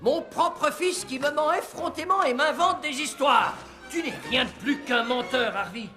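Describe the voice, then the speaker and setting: A man's voice: extremely high pitch, a little slow, sharp tone, soft background music, exaggerated voice. A man playing a middle-aged, exasperated and distrustful father in a cartoon, with a theatrical, scolding manner. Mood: angry, sarcastic. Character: exasperated, distrustful father who runs a museum. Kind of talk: animated cartoon dubbing.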